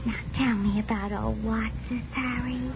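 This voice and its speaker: A high, coy American moll. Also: female voice, fairly even intonation, a little slow, music in the background. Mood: flirtatious. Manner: coy, coaxing, naive-sounding. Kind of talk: 1950s radio drama acting. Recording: muffled, noisy vintage radio recording.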